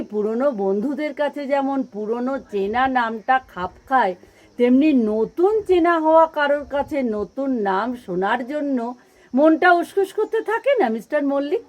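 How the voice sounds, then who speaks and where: An actress playing a witty, forward older single woman in an audio play, teasing and articulate. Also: high-pitched.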